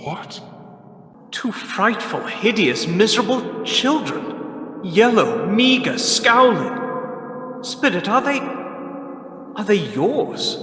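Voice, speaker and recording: A man's voice: high-pitched, very animated, drawn-out words, pauses often, fairly bright tone, full character voice. A man playing a frightened, doubting old miser in a radio play, hesitant and faltering. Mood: horrified. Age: older. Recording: echoey long reverb.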